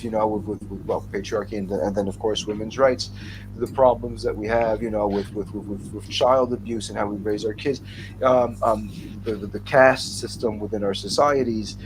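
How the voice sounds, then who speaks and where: A man speaking as a writer on a casual panel over a video call, haltingly, repeating words as he thinks aloud. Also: on the low side. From United States (born in New York). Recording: clearly noisy video-call audio.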